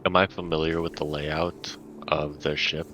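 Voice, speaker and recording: Very deep, gravelly man at a game table. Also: little pitch movement, moderate pace, bright, forceful tone. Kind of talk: casual game-table talk. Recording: slightly dull audio, faint background noise.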